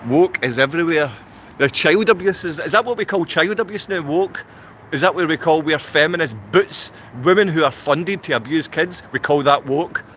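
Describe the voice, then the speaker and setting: A man's voice: a little high, normal speed, fairly bright tone, a little hiss or hum. A man from Glasgow with a Glaswegian Scottish accent, talking unscripted to livestream viewers in a rambling, repetitive way full of rhetorical questions. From Glasgow, Scotland.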